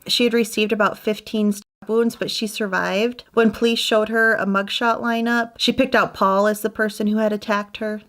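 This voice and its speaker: A woman narrates a true crime case from a script, keeping her telling steady and matter-of-fact. Her voice sits at an ordinary middle pitch. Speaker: true crime channel host.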